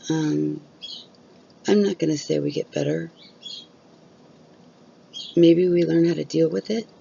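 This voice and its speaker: A woman's voice: deep voice, steady tone, unhurried, gravelly, warm tone, dull audio, a little hiss or hum. A woman vlogging candidly about chronic illness, blunt and open, swearing freely while losing her voice. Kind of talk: candid casual vlog talk.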